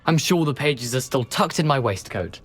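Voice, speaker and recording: A man's voice: mid-range voice, lively intonation, normal speed, natural voice. An actor playing a cheeky, wary young male ghost in a video game, with a wry, wordy, theatrical manner. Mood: wry, breezy. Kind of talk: video game character acting.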